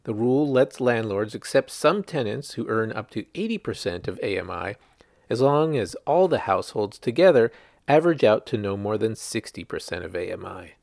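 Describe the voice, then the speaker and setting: A man's voice: on the low side, lively intonation, moderate pace, creaky, darkish tone, dry recording, studio quality. A man reading a news article aloud as a scripted narration, in the manner of a reporter presenting a written story.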